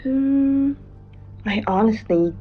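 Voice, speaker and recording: An actress playing an earnest female late-night radio co-host and dream interpreter, speaking in a measured, tentative way. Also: high-pitched, lively intonation, highs cut off, heavily acted. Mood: tentative, puzzled. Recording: band-limited radio sound over music.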